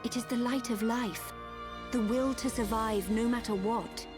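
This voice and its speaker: Female guide for a story game, actress: grave, gentle, resolute, formal phrasing, clear music underneath. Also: fairly high pitch, fairly even intonation, lightly acted. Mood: earnest, encouraging. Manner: measured, formal. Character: solemn, wise woman guide, gentle and resolute. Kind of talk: dramatic video game acting.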